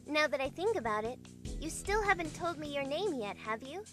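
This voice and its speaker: A woman's voice: high voice, very animated, normal speed, over music. A woman playing a bewildered young heroine, narrating her own story in an amateur visual novel dub. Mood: introspective. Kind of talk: first-person visual novel narration, fandub.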